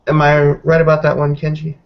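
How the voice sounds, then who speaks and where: A man playing a suspicious werewolf investigator in an improvised roleplaying game, choosing his words deliberately and often correcting himself. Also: on the high side, completely flat delivery, gravelly, pitched above the performer's own voice. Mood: guarded, sceptical. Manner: deliberate, hesitant, self-correcting. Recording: dull lo-fi voice recording.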